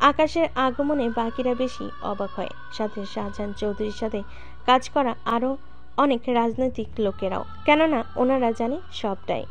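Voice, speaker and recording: Higher-pitched woman narrating a story. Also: moderate pace, dull audio, faint music behind.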